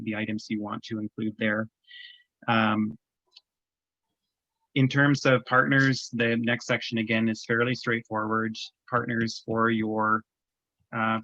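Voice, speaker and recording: Flat-voiced Canadian man explaining a form. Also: on the low side, no echo, dull audio. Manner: measured, explanatory, frequent ums. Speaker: government program presenter. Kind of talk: webinar walkthrough. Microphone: video call.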